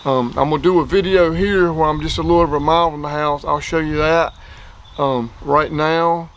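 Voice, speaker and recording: A man's voice: fairly high pitch, fairly even intonation, fairly quick, a little hiss or hum. A man with a plain-spoken, folksy way of talking, giving a casual hands-on gear review outdoors. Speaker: product reviewer.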